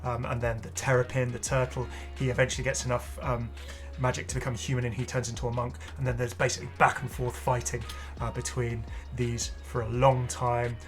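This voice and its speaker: A man's voice: medium pitch, little pitch movement, a little fast, hardly any pauses, soft background music. A man giving casual, off-the-cuff video commentary as a gaming host, rambling and hesitant with apologetic asides.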